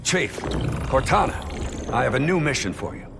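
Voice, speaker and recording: A man playing a resolute older naval captain in a sci-fi game cutscene, with an authoritative, measured way of speaking. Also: medium pitch, big pitch swings, vocal fry, big-room sound, over music. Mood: grave, resolute. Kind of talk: cinematic sci-fi game acting.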